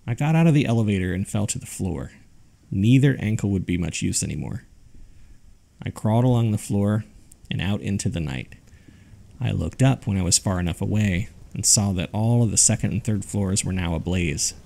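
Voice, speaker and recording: A man's voice: on the low side, lively intonation, moderate pace, vocal fry, mellow. A man reading scripted narration as the host of a horror fiction podcast. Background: background music.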